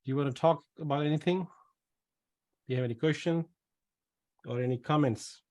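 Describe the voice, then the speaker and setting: A man's voice: fairly high pitch, brisk, a little mellow. A middle-aged man from Pakistan with a Pakistani accent, hosting an online question session and advising participants in a blunt, probing way. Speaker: webinar host and pharmacist adviser. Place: small room indoors, on a video call. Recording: noisy video call, clicks.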